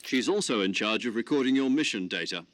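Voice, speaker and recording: A male actor voices an officer in charge of a covert game mission. His mid-pitched voice is formal and authoritative, every order measured. The sound is dry and close. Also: expressive, a bit bright, no echo, natural voice. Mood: composed, explanatory. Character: commanding male officer briefing a covert agent by radio. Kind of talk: video game cutscene acting.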